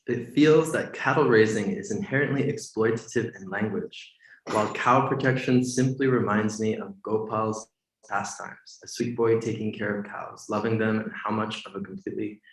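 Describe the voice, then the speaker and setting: A man moderating a scripture class, reading out viewer comments in a measured way. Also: lowish voice, fairly even intonation, far from the mic. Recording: echoey room.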